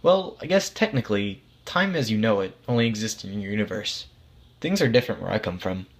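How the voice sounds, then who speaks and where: A man's voice: lowish voice, lively intonation, brisk. A man as a casual, reassuring God. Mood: earnest, explaining. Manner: matter-of-fact, patient explaining. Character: God as a casual, reassuring, vague authority figure. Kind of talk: acted storybook dialogue.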